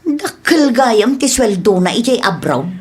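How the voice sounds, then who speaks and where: A woman's voice: on the high side, big pitch swings, measured pace. A woman with an Ilocano accent playing a brazen grown daughter and mother in a radio comedy drama. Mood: curious, gossipy.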